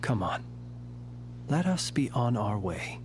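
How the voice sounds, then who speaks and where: Brooding formal soldier type in a game, mid pitch, measured, hum behind. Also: male voice, very animated. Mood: calm, resolute. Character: composed, mysterious soldierly man, courteous and brooding. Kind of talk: video game voice acting. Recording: clear hum and background noise.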